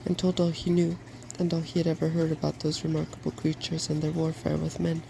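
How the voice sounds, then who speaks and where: Very creaky, soft-spoken male storyteller. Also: on the high side, completely flat delivery, a little fast, background noise. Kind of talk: soft-spoken ASMR fairy tale reading.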